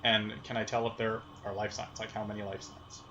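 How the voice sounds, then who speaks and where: A man's voice: lowish voice, little pitch movement, brisk, fairly bright tone. A man talking casually as a player in a tabletop role-playing game. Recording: dull lo-fi sound, slight noise.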